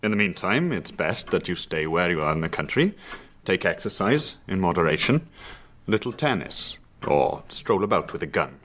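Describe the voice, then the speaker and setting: Doctor hypnotist, very low voice, varied intonation, authoritative, composed, radio drama. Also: male voice, big pitch swings, normal speed, fairly bright tone, full character voice. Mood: composed, clinical. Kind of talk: period radio drama acting.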